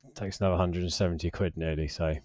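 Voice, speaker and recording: A man's voice: sits low, little pitch movement, fast, a little mellow, no echo, lo-fi sound. A British man in his forties giving a casual, unscripted finance vlog commentary in a hesitant, rambling, self-deprecating way. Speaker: personal finance vlogger.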